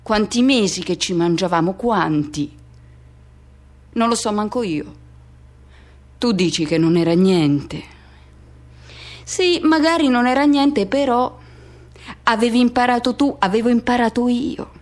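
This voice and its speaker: A woman performing a radio theatre monologue as an anguished, pleading lover recalling a forbidden teenage love, in urgent, halting phrases that insistently repeat. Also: a little high, lively intonation, darkish tone. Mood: bitter, insistent.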